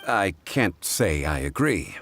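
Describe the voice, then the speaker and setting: An actor playing a calm, erudite gentleman scholar in a video game, speaking formally and with measured courtesy. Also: sits low, exaggerated voice. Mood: composed. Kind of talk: scripted game dialogue.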